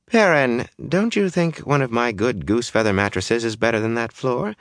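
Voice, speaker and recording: A man's voice: fairly low pitch, very animated, normal speed, no echo, pitched above the performer's own voice. A motherly innkeeper woman from a fantasy tale, put on by a male narrator, with a lifted, swooping voice. She coaxes and soothes, yet stays firm, in a dry recording. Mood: coaxing.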